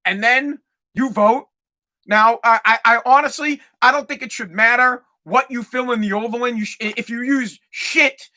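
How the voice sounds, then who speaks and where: A man's voice: very high-pitched, bright, forceful tone. A male podcast host delivering a profane, fired-up rant with lots of repetition. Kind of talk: ranting monologue.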